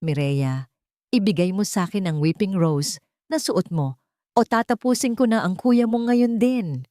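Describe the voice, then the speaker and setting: A ruthless young villainess, voiced by a woman narrator, shrill and desperate. She makes menacing demands in an audio melodrama, close and dry. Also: expressive, measured pace, dark tone, no echo, natural voice. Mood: frantic, threatening.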